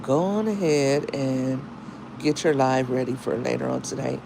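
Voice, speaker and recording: A woman with a deep, husky morning voice, talking casually to viewers in a livestream as a single mom and content creator, with chatty, slangy asides. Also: steady tone, measured pace, background noise. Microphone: phone.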